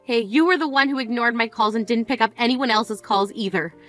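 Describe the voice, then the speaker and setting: A woman's voice: fairly high pitch, fairly quick, fairly bright tone, faint music behind, natural voice. A woman playing Rita, a scolding grieving sister. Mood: reproachful. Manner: scolding, incredulous, cutting. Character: grieving older sister, exasperated, blunt woman. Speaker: sister at a funeral. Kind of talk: scripted dramatic dialogue.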